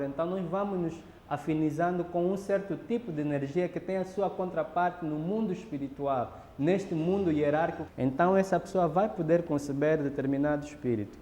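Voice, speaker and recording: Angolan man, documentary interviewee, mellow, reverberant room. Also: on the high side. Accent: Angolan Portuguese. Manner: measured, pauses with fillers and restarts. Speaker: interviewee explaining a ritual. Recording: reverberant room, faint background noise.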